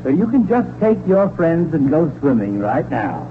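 Put-upon sitcom father, high-pitched and sarcastic, on a muffled, noisy 1940s radio broadcast. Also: very animated, normal speed. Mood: exasperated lecturing. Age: middle-aged. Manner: blustering, complaining, sarcastic. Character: exasperated, put-upon grumbling father and husband. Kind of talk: 1940s radio comedy acting.

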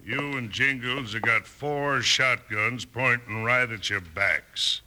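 An actor playing a menacing American gang boss in a radio western, with a taunting, sneering manner. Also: male voice, medium pitch, slow pace, pushed and bright. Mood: gloating menace. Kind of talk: 1950s radio western acting. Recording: old radio recording, faint noise and clicks.

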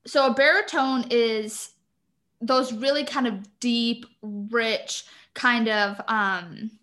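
A woman's voice: a little high, fairly even intonation, slow pace, a bit bright. A woman in casual chat who explains singing terms in detail and laughs often.

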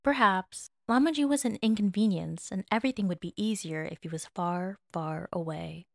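A woman reading scripted fiction as narrator, in a measured, expressive manner. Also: medium pitch, creaky, no echo, studio quality. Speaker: narrator, fan fiction reader.

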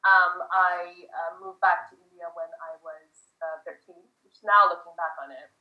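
Woman, 40s, from the US, casual guest, rambly, lower voice, echoey dull recording. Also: steady tone, moderate pace. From United States. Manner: chatty, rambling with many fillers. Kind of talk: casual interview answers.

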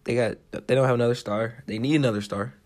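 A man's voice: mid-range voice, fairly even intonation, fast, darkish tone. A young American man co-hosting a casual sports chat, giving his opinions in short, emphatic, informal statements. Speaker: podcast co-host.

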